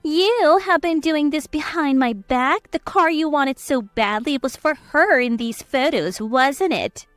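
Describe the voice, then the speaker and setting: A woman's voice: sits high, lively intonation, a little slow, hardly any pauses, no echo, faint music behind, pitched above the performer's own voice. A woman voicing an indignant, doting mother and betrayed wife, protesting and accusing in a dramatized story. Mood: distraught, accusing. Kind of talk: scripted story dialogue.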